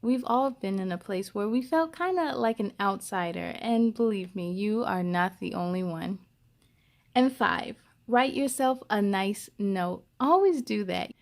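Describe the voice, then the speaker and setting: A woman's voice: medium pitch, measured pace, gravelly. A woman hosting a children's story time, reading aloud expressively with chatty asides and speaking encouragingly straight to young listeners.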